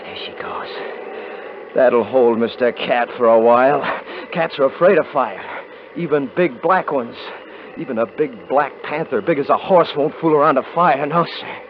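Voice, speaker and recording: A man's voice: medium pitch, natural voice. A man playing a tense young rancher who talks to himself in the snow and snaps at his brothers, in 1950s radio drama style. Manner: muttering to himself, gruff and scornful with his brothers. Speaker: lead actor in a radio play. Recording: hissy muffled 1950s radio broadcast.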